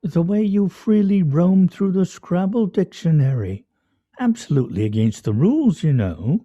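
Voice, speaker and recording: A pompous, sardonic man played by a male actor, very dark and soft in tone, with a husky edge. He declaims ornately, swinging widely in pitch like a stage orator in a radio drama. The recording is somewhat muffled. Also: lively intonation, normal speed. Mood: mock indignation. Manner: grandiose, mock-serious, ornate diction. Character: pompous, sardonic, theatrical man at a scrabble game. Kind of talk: radio play acting.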